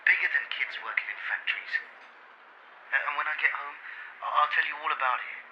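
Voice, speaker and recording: An actor playing an earnest young British radio journalist, speaking haltingly into a recorded diary in an audio drama. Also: male voice, on the high side, highs cut off, slight background noise, natural voice. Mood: tender, determined.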